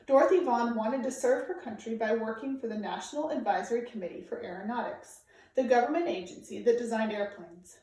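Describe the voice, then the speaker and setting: A woman reading aloud to children like a teacher, with clear, deliberate, emphatic phrasing. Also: a little high, a little mellow. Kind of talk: read-aloud storytelling for children, informal introduction. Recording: very echoey room, distant microphone.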